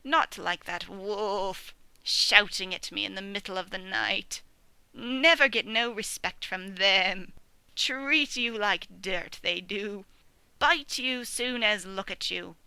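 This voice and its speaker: A woman voicing a mournful, ailing old ewe in a storybook, with a slow, breathy, drawling way of talking. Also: mid-range voice, no echo, full character voice, low-quality recording. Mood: grumbling. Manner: slow, drawling rustic speech with soft breathy murmurs. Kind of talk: storybook reading.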